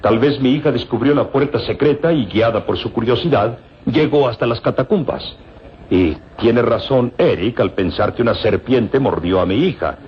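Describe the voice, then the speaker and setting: An actor playing an earnest, middle-aged professor and father in a radio serial, with grave, deliberate, formal delivery. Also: mid-range voice, natural voice. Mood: thoughtful. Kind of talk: vintage radio serial acting. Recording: dull, noisy old radio recording.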